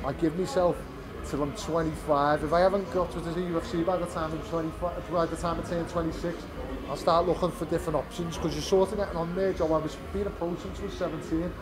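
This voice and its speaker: Candid young British fighter, crowd behind. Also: male voice, on the high side, steady tone, fast, low-quality recording. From United Kingdom. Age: 20s. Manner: candid, rambling with many fillers and restarts. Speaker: fighter after a bout. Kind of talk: post-fight press interview. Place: fight venue media area. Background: crowd chatter.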